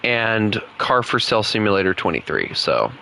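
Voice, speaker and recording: Rambling young gamer, Southern US accent, lowish voice, bright forceful tone, light noise behind. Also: male voice, very animated, moderate pace, vocal fry. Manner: rambling, chatty, laughs often. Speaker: gaming streamer. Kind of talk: casual gaming chat.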